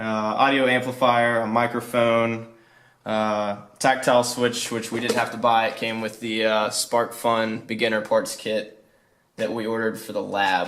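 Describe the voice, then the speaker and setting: A man's voice: on the low side, a bit bright, a little hiss or hum. Laid-back young man, informal and hesitant. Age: young adult. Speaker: student presenter. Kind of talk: informal project walkthrough.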